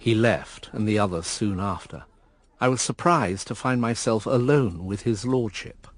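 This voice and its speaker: An actor playing a brooding, wistful man who narrates a radio drama in a measured, reflective way. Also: on the low side. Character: brooding, wistful male narrator looking back on lost love.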